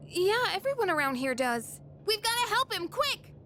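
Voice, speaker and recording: Game casting: caring, excitable schoolgirl, high-pitched and very expressive. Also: normal speed, faint music behind, heavily acted. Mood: worried, urgent. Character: caring, excitable teenage girl.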